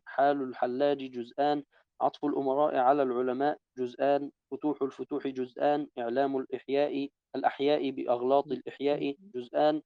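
A man reading a classical Arabic text aloud to a teacher in a steady, even recitation, correcting his own slips as he goes. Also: mid-range voice, no echo, muffled recording.

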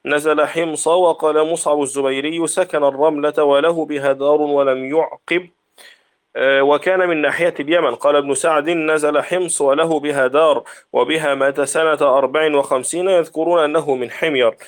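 A man's voice: fairly high pitch, fairly quick, clean tone. Man reciting a classical text aloud. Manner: steady recitation of narrator chains and reports. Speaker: student reading a text aloud to a sheikh.

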